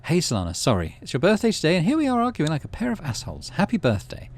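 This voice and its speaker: A man's voice: a little high, huge pitch swings, fast talker, voice pushed up, heavily acted. A man reading a game's dialogue aloud and voicing a firm, outspoken mother in a raised voice. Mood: apologetic. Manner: sharp, insistent.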